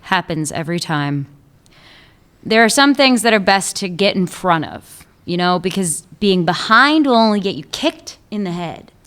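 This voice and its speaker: A woman voicing a shrewd, tenacious female police chief in a radio play, speaking in a cool, measured way. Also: lowish voice, sing-song, fairly bright tone. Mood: probing.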